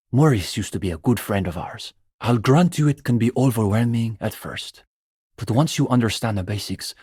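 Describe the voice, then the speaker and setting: Bookish young communist student, slightly husky. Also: male voice, lowish voice, a little mellow, no room sound, studio quality. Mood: pedantic, aggrieved. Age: young adult. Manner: intellectual, opinionated, dryly pedantic. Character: earnest, bookish young male communist student, smugly doctrinaire. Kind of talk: video game dialogue voice acting.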